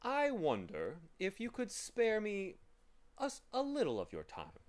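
An elegant storybook gentleman, voiced by a man reader, amiable and courteous. His manner is genteel and soothing, with a lively, varied intonation. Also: on the low side, normal speed. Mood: politely coaxing.

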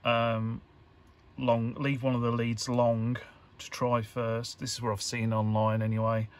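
A man giving an informal hands-on tutorial, talking in a halting, hesitant way as he thinks aloud. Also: medium pitch, flat delivery. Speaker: electronics hobbyist presenter.

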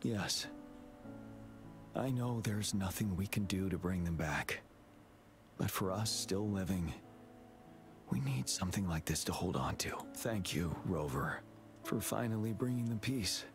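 Jiyan, a solemn young general. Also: male voice, low-pitched, animated, music in the background. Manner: solemn, measured, earnest. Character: stoic young male general, grave and devoted. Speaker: game character.

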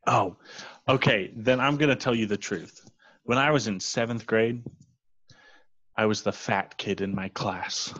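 A man reads the part of a self-conscious woman journalist, earnest and easily exasperated, in a comedy script. The voice is low and husky, with halting delivery, over muffled video call audio. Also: a bit bright. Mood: hesitant confession. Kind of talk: comedy script table read.

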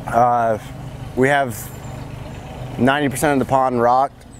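A man's voice: medium pitch, measured pace, slight background noise. Laid-back man at an outdoor build site. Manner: relaxed, filler-heavy, enthusiastic. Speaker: pond builder. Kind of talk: casual on-site walkthrough. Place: outdoor backyard construction site.

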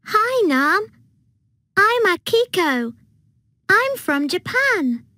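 A cheerful little schoolgirl for a textbook recording, her voice sitting extremely high and leaping up and down in a sing-song way. Every word is spoken slowly and carefully. The sound is dry and close. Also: sing-song, no room sound, heavily acted. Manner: bright, slow, carefully clear speech. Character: cheerful young schoolgirl from Japan.

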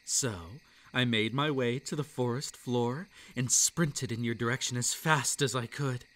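A man voicing a possessive, gloating yandere kitsune monster boy in an intimate, hushed, menacing ASMR roleplay monologue. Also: medium pitch, a little slow, lightly acted. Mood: hungry, possessive. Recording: night insect ambience behind the voice. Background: crickets and night insects.

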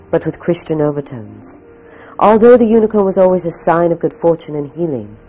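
A woman reading scripted documentary narration in a measured, solemn storytelling manner. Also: low-pitched, warm tone, low-quality recording. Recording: music behind. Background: background music.

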